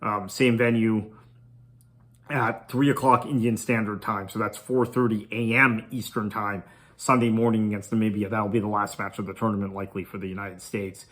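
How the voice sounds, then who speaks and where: Bright-voiced man previewing cricket. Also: fairly low pitch, flat delivery, moderate pace. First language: Tamil. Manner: thinking aloud, conversational. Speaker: podcast host. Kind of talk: solo cricket preview commentary.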